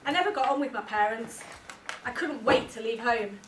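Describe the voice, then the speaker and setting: A woman's voice: fairly high pitch, normal speed, lightly acted. A woman playing a debtor who tells a court about money troubles, in a training film. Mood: matter-of-fact recounting. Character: Joe, young debtor telling a money-troubles story in court. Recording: echoey, distant, noisy room.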